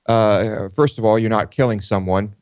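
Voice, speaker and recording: A man co-hosting a talk show, giving opinions casually and haltingly as he pieces his thoughts together. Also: fairly low pitch, steady tone, darkish tone, no room sound, studio quality. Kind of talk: casual unscripted discussion.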